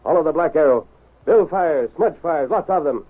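A man playing a stern, commanding masked lawman in an old-time radio western, speaking with firm, deliberate authority. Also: medium pitch, husky, close to an everyday voice. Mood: urgent, decisive. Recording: tinny, hissy old radio broadcast.

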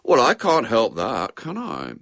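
A man's voice: mid-range voice, sing-song, fast, fairly bright tone, breathy edge, no room sound, clean studio sound, pitched above the performer's own voice, heavily acted. A man voicing a stubborn, indignant schoolboy in a storybook reading. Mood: sulky, protesting. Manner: indignant, protesting. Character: stubborn scornful schoolboy, sulky and argumentative.

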